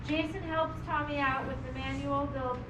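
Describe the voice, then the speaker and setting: Woman, high pitch, encouraging facilitator, reading and discussion, distant noisy recording. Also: fairly even intonation, normal speed. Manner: encouraging, often asking questions. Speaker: host and facilitator of student play readings. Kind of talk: reading stage directions, leading group discussion. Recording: distant mic in noisy, echoey room.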